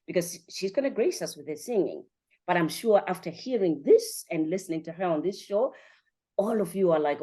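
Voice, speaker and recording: Lower-pitched Zimbabwean woman, effusive podcast host. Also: warm tone. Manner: effusive, addresses listeners directly, checks with "right" and "you know". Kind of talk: chatty, conversational hosting.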